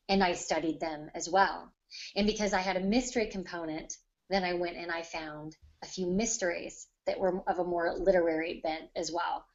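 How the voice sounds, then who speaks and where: A woman's voice: on the low side, highs cut off. A woman writer on a podcast panel, explaining her craft conversationally and in detail with examples.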